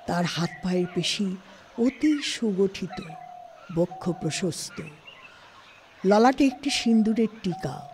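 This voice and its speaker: Expressive woman narrating a literary story. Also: medium pitch, slow pace, pauses often. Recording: studio, faint background noise.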